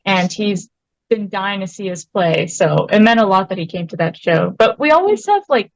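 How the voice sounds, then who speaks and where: A woman's voice: medium pitch, expressive, normal speed, no echo, poor audio. A woman in a punk band, chatting in an interview in a laid-back way and laughing often. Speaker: band member guest. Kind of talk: casual interview chat.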